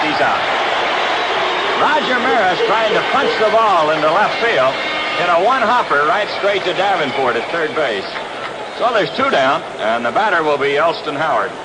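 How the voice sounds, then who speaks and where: A very noisy 1960s outdoor radio broadcast carries an American man calling baseball. His voice sits fairly high and moves widely in pitch. He reports each play in short, clipped phrases. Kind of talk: live radio play-by-play commentary.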